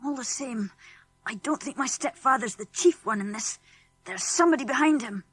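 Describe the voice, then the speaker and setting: A woman's voice: on the high side, normal speed, fairly bright tone, breathy edge, dry recording, faint music behind. A woman voicing an earnest, miserable young farm boy in a children's audiobook. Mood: downcast, earnest. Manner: earnest, downcast.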